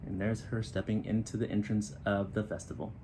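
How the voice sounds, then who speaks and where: A man reading a children's picture book aloud in an expressive storytelling style, with short explanatory asides. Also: fairly low pitch, brisk, noisy background. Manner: expressive, acting out children's dialogue.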